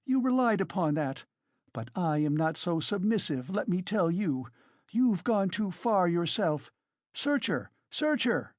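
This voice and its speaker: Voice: mid-range voice, big pitch swings, measured pace, darkish tone, dry recording, clean studio sound, voice pushed up. A narrator voicing a frantic, furious, proud woman in a dramatised audiobook, shrieking and exclaiming. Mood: frantic fury. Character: hysterical, furious, proud wasted woman defending a girl.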